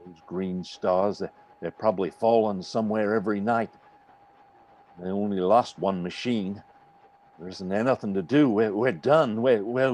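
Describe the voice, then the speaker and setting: An actor playing a paranoid, beaten militia soldier in a radio play, with a jittery, stammering, emphatic delivery. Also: male voice, on the low side, a little mellow, close to an everyday voice. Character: paranoid, defeated militia soldier guarding his territory. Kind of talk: radio play reading, dramatic dialogue.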